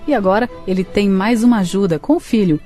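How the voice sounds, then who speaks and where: A woman narrating a scripted radio drama in a measured storytelling manner. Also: medium pitch, dark tone, faint music behind.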